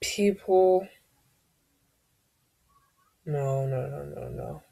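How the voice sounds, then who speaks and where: A woman with a naturally deep, low-pitched voice, sharing a personal story straight to camera. She speaks slowly and unhurriedly. She often pauses, hesitates and trails off as she searches for the right words. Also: vocal fry, warm tone. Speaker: vlogger.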